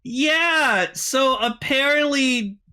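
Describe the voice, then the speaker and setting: A man's voice: very high-pitched, drawn-out words, bright, forceful tone, smooth. A man co-hosting a podcast, recapping a show in casual, enthusiastic, rambling talk full of "like". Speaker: podcast host.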